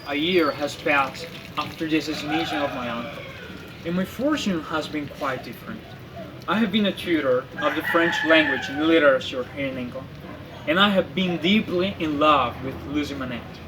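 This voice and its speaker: A man playing an earnest exiled French aristocrat, telling his story in a formal, deliberate dramatic monologue. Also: a little high, close to an everyday voice. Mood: earnest, hopeful. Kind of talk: scripted dramatic monologue of a classic novel. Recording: faint background noise, amateur recording.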